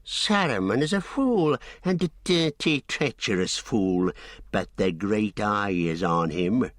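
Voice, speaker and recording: A man voicing a sly, evil goblin messenger who talks softly with a sneer in an audiobook. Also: on the low side, animated, takes it slowly, no echo, clean studio sound. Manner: sneering, soft and menacing. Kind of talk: audiobook character voice.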